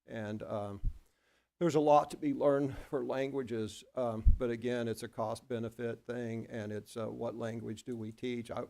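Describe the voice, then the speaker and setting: A man from Oklahoma, a school board member, talking at a board work session in a rambling, halting way with many restarts and a self-deprecating manner. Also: medium pitch, fairly even intonation, vocal fry, dark tone. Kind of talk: board meeting discussion.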